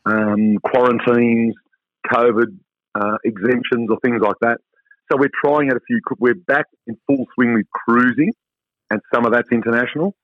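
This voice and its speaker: Hoarse Australian man on a phone line. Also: medium pitch, dry recording. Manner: upbeat, rambling, many fillers. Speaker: travel company owner. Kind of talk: casual interview chat.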